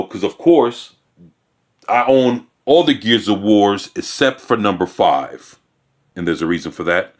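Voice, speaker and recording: A man giving a casual commentary. He reads a news piece aloud and breaks off into blunt, profane remarks, often restarting his sentences. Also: fairly low pitch. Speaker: video commentator. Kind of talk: casual reaction rant reading news aloud.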